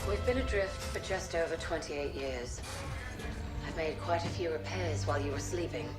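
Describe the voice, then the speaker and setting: An actress voicing a dignified, loyal female starship AI in a game cutscene, formal, composed and a little wry. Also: sits low. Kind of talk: video game cutscene dialogue. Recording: echoey mix over loud music.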